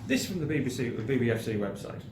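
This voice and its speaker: A British man hosting a film review show in casual chat, speaking haltingly and often repeating his words. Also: medium pitch, animated. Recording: roomy echo, clearly audible background noise.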